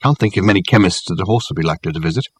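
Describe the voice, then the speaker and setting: A man voicing a dry, formal detective in an audiobook, speaking deliberately in wordy, probing sentences. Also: deep voice, slightly rough, dry recording. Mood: dry, wry. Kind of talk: audiobook character acting.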